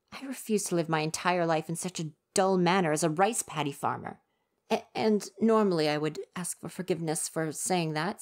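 A woman's voice: fairly low pitch, a little mellow, natural voice. Woman voicing a prickly young ninja boy. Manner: defensive, flustered, earnest. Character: young male would-be ninja, prickly but soft-hearted. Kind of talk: ASMR roleplay.

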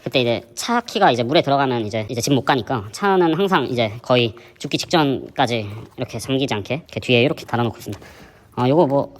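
A man with a hoarse voice from a sore throat, hosting a fishing channel and chatting through his gear in a casual, explanatory way. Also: mid-range voice. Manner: chatty, explanatory, many fillers. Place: indoor gym.

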